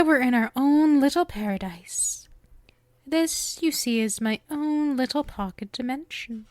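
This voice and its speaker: A woman's voice: on the high side, clean tone, no room sound. A woman playing a sweet, possessive witch shopkeeper in an ASMR roleplay, coy, teasing and theatrical in her affection. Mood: smug, sweetly sinister. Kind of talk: ASMR roleplay, intimate scripted acting.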